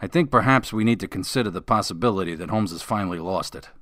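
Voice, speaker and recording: An actor playing a skeptical, grumbling police officer in a radio drama, sardonic and matter-of-fact. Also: male voice, low voice, fast talker, a bit bright, no echo, studio quality. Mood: sardonic, impatient. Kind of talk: modern radio drama acting.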